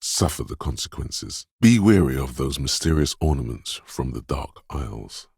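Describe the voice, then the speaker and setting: A man voicing a brooding, superstitious inner voice of intuition in a video game, speaking in a hushed, portentous, theatrical way. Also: big pitch swings, normal speed, no echo, studio quality, exaggerated voice. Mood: mystical, foreboding. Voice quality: very deep, gravelly, husky. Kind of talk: dramatic video game narration.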